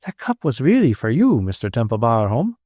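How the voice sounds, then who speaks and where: A man voicing a timid elderly gentlewoman who speaks in a prim, shaky and tearful way. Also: mid-range voice, huge pitch swings, fairly quick, dry recording, studio quality. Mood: anxious, prim. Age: older. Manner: tremulous and shaky, prim and formal.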